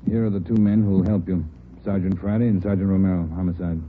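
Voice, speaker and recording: Un homme jouant un chef détective ferme. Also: male voice, deep voice, steady tone, fast talker, slight background noise, close to an everyday voice. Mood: firm. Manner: firm, commanding. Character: firm no-nonsense police chief detective. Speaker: chief detective. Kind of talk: 1940s radio acting. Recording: tinny old radio broadcast.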